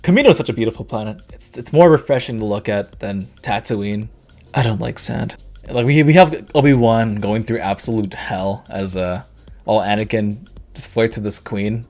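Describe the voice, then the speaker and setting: Hoarse young man rambling about movies. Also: fairly low pitch, normal speed, darkish tone, faint music behind. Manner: rambling, self-correcting, laughs often. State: voice worn, going hoarse. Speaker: YouTube reviewer. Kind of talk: casual rambling movie review.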